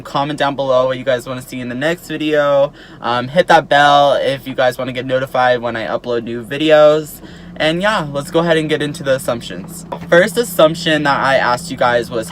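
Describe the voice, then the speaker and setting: A man's voice: mid-range voice, a bit bright, slight background noise. An eighteen-year-old man chatting casually to his viewers as a YouTuber, peppering his talk with "like" and "um". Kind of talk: casual vlog chat to camera.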